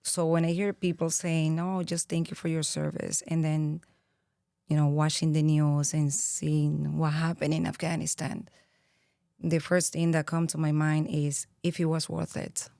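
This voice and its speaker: A low-pitched woman with a Venezuelan Spanish accent talks slowly and earnestly, pausing often to think. Her voice sits dry and close to the mic. She sounds like a podcast guest reflecting in her thirties. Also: little pitch movement, gravelly, dark tone, no echo. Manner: reflective, earnest, with frequent pauses and fillers. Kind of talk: interview conversation.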